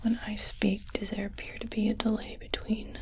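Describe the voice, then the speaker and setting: A woman playing a calm alien translator technician in a whispered ASMR roleplay, speaking softly and carefully, like a reassuring clinician. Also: medium pitch, normal speed, natural voice. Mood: attentive, clinical.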